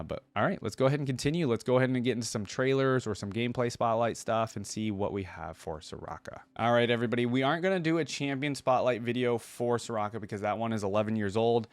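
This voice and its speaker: A man's voice: on the low side, no echo. A man hosting a reaction video, chatting casually and thinking aloud as he gives his commentary.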